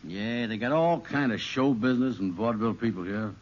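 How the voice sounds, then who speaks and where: An actor playing a slow-witted, gullible, easygoing man in an old radio sitcom, with a bumbling, hesitant, folksy delivery. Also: mid-range voice, natural voice. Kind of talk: 1940s radio sitcom acting. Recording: muffled, hissy old radio recording.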